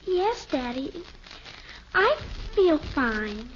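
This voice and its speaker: An actress playing a timid young daughter in an old radio family sitcom. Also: female voice, high voice, very animated, very slow, full character voice. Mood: meek. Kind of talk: 1950s radio sitcom acting. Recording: muffled old radio recording, faint noise.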